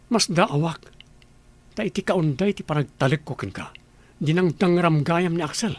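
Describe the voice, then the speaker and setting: A man's voice: fairly high pitch, normal speed, lightly acted. An actor with an Ilocano accent playing a stern, questioning father and household boss in a radio drama.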